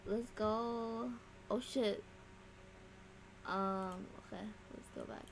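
A woman's voice: medium pitch, fairly even intonation, slow pace, slight background noise. A woman chatting casually on a livestream, reacting aloud with exclamations and swearing. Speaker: VTuber livestreamer who sings. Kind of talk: casual livestream chat with singing.

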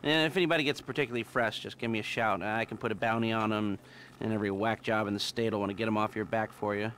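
An actor playing a cynical, self-loathing male hacker in a video game, halting and sardonic. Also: fairly low pitch, steady tone, fairly bright tone, a little hiss or hum, natural voice. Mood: sardonic, awkward. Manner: halting, sardonic, nervous chuckling. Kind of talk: video game cutscene acting.

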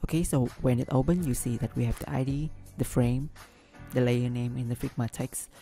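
Gravelly hesitant man explaining a tutorial. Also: mid-range voice, fairly even intonation, brisk, dark tone, soft background music. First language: not English. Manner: hesitant, many ums and restarts. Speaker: business analyst tutorial host. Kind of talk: screen-recorded software tutorial.

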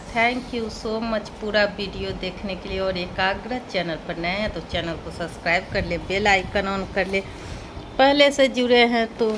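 A middle-aged woman speaks at an average pitch, chatting casually to her viewers. She narrates like a home vlogger. Clear background noise runs through the phone recording made indoors.

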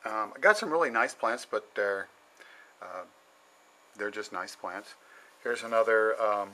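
A middle-aged man giving a casual, halting tour of his basement plant shelves, with many ums and frequent throat clearing, recorded on a handheld camera. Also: fairly low pitch, bright, forceful tone, rough recording. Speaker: home plant grower. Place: indoor basement grow shelves.

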